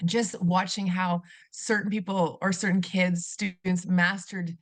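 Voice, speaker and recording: A woman's voice: lowish voice, level tone, measured pace, dry recording. A middle-aged woman from Canada, a former teacher, speaking earnestly as a podcast guest and often restarting her sentences. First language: German. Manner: earnest, reflective, many restarts and fillers. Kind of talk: conversational interview.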